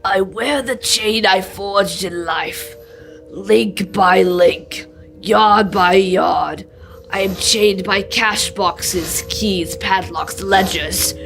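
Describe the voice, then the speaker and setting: A young woman plays a dead businessman's doomed ghost in a radio play. The delivery is very slow, solemn and drawn out, full of woe, with clear music behind it. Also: mid-range voice, fairly bright tone, full character voice. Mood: anguished, grave. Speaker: student actor. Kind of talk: old-time radio drama acting.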